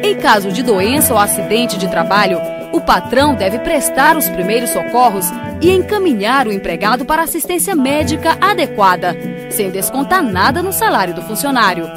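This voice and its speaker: A woman's voice: fairly high pitch, sing-song, moderate pace, over music. A woman with a Brazilian accent reading scripted information as an announcer.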